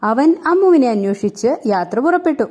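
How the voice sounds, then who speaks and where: A woman's voice: high-pitched, lively intonation, normal speed, dark tone. A woman narrating a scripted children's story as a storyteller.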